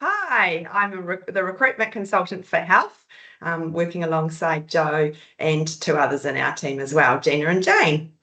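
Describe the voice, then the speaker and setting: A woman with a New Zealand accent and a low voice talks easygoingly, pausing on ums as she introduces herself. She sounds like she is in an echoey room, heard over a video call. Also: pushed and bright. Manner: easygoing, hesitant, frequent ums. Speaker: recruitment consultant. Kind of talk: casual webinar self-introduction.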